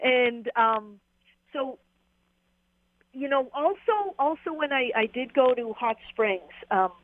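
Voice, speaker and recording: A woman's voice: high voice, animated, slow, no echo. Laughing woman storyteller on a phone line. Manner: rambling, laughs often, many fillers. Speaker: former jockey, guest. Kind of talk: casual storytelling interview.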